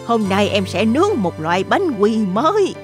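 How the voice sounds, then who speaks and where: A woman playing a kindly, excitable old grandmother in a children's cartoon, speaking expressively. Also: medium pitch, normal speed, natural voice. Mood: thoughtful. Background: soft children's music.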